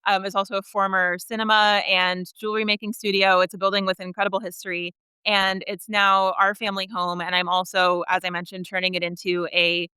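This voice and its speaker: A woman's voice: mid-range voice, little pitch movement, a little fast, bright, forceful tone, smooth, no echo. A woman talking as a guest author in a conversational interview, chatty and earnest.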